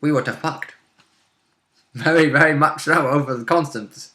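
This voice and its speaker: A man's voice: on the high side, unhurried, bright, forceful tone. A man who plays in a tabletop game, casually chatting and adding wry asides to a recap in a roomy space. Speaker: player in a tabletop game, co-host. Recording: roomy, echoey sound.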